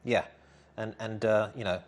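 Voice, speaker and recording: A man's voice: on the low side, fairly even intonation, measured pace. A man in his thirties chatting casually and commenting as co-host of a product demonstration. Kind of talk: casual chat, reacting and commenting. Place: indoor tool workshop showroom.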